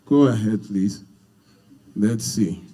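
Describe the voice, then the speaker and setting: A man's voice: lowish voice, slow pace, mellow. A Nigerian-accented man speaking unscripted to an audience as a seminar leader, earnest and emphatic, often repeating his phrases. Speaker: speaker leading a marriage seminar. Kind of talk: unscripted public talk to an audience.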